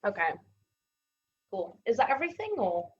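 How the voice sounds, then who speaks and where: A lo-fi recording carries a woman with a mid-pitched voice acting as game master. She describes scenes informally, improvising as she goes with halting phrasing, and chats casually with her players. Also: very animated, slightly rough. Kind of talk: narrating a tabletop game, casual. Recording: dull lo-fi audio.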